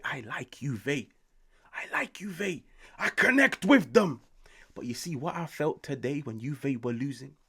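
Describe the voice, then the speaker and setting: Brit guy late 20s ranting about football, pitch jumps all over, loud then whispery. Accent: British. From United Kingdom. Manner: animated, swears often, swings between loud outbursts and near-whispers. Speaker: football channel host. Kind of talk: excited casual football reaction.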